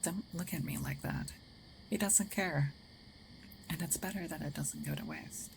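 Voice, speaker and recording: Low-voiced, gravelly female werewolf acted by a woman. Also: wildly swooping pitch, unhurried, a little hiss or hum, exaggerated voice, poor audio. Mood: matter-of-fact, tending. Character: gruff female werewolf, territorial yet caring, awkward protector. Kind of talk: ASMR roleplay, soft intimate acting.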